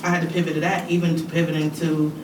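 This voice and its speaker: A woman on a podcast, agreeing readily and talking in casual slang. She is far from the mic in an echoey room with a clear hiss underneath. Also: sits low, little pitch movement, rapid-fire. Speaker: podcast guest. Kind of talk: casual chat.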